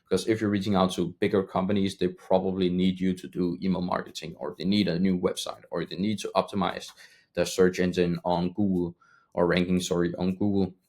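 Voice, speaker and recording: A man, not an English speaker, explaining a business method in a loose, rambling way, often pausing with fillers and correcting himself mid-sentence. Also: low voice, little pitch movement, a little mellow. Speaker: agency owner teaching cold email outreach. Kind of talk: casual tutorial talk.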